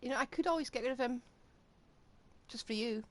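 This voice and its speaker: A woman's voice: on the high side, a little fast. A woman giving casual, wry, joking commentary while streaming a video game. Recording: game music behind.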